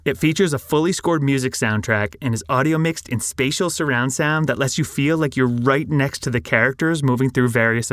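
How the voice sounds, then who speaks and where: Man, mid pitch, scripted promo announcer, dry sound. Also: a bit bright, no room sound.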